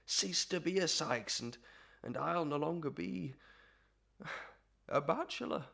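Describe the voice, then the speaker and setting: A man playing a pompous, lovestruck parish official in a Victorian melodrama, grandiloquent and mock-poetic, often clearing his throat. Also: fairly high pitch, animated, measured pace, sharp tone, heavily acted. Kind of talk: Victorian melodrama audio acting.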